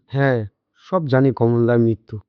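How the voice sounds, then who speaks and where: An actor playing a considerate younger family friend in a radio drama, speaking politely and reassuringly. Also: male voice, medium pitch, steady tone, normal speed, clear, resonant tone, dry recording, natural voice. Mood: sympathetic. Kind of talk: Bengali radio drama acting. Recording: dull lo-fi audio with background music.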